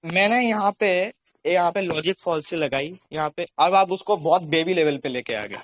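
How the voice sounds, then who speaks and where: A high-voiced man with an Indian accent argues his case in a debate. He explains insistently and often repeats phrases to hold the floor. The sound is very dry, with little room to it. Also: moderate pace, dry recording. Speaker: religious debater on a recorded call.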